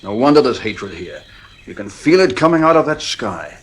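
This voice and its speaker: Radio play: a frank, opinionated doctor of the mind, husky tone, expressive intonation. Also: male voice, medium pitch. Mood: grave, frank. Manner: measured, wry, opinionated. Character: male psychiatrist, frank, wry family friend. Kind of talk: radio drama acting.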